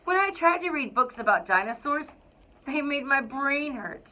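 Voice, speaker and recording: A woman reading a children's picture book aloud to school students in an expressive, deliberate storytelling way. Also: high-pitched. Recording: thin, phone-like narrow-band sound.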